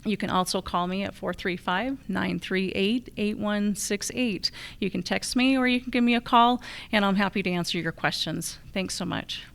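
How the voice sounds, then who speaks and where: A woman's voice: medium pitch, moderate pace, gravelly, hardly any pauses, fairly bright tone. An American woman speaking as a political candidate at a public forum, addressing voters directly. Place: live candidate forum on a college campus.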